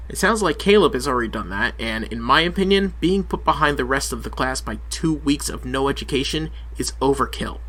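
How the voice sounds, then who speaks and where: A man's voice: mid-range voice, animated, fairly quick, hardly any pauses, a bit bright. An actor playing a small-town police chief and father, firm, curt and ready to swear. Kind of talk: audio drama acting.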